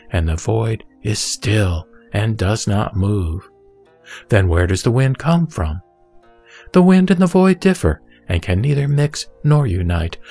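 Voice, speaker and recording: Man, low-pitched gravelly voice, scripture recitation, measured didactic phrasing, music underneath. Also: darkish tone. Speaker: sutra reciter.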